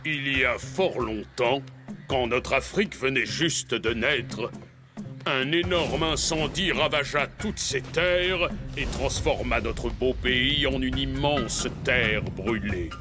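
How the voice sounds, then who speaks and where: A man's voice: medium pitch, slow, over music, natural voice. A man voicing a friendly, courteous giraffe painter in a French cartoon dub, with a polite, instructive way of talking. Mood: solemn storytelling.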